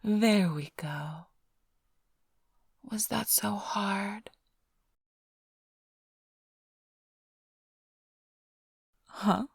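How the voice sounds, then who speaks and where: A woman playing a flirtatious, smug vampire thief in an intimate ASMR roleplay, teasing and sly in a breathy, often whispered voice. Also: medium pitch, extremely slow, dry recording, clean studio sound, exaggerated voice. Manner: teasing, purring, theatrically sly. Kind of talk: ASMR roleplay, intimate close address to listener.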